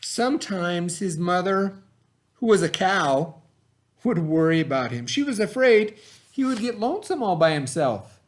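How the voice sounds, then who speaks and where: Man, higher-pitched voice, very varied intonation, children's read-aloud, chatty informal asides. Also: unhurried, a little hiss or hum. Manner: animated, informal asides to the listeners.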